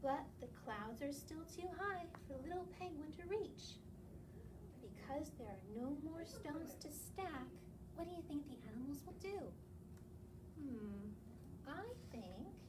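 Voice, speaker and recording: A woman's voice: high voice, animated, a little slow. A woman hosting a children's story time, reading aloud and talking to young listeners with questions and encouragement. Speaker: library story time host. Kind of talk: children's read-aloud and singalong. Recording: distant mic, clearly noisy background.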